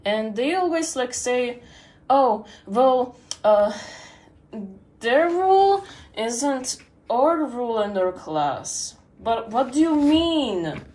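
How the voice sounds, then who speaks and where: A teenage girl rambling on a livestream, full of pauses, fillers and restarts. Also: fairly high pitch, animated, darkish tone. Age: teens. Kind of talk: rambling casual stream chat.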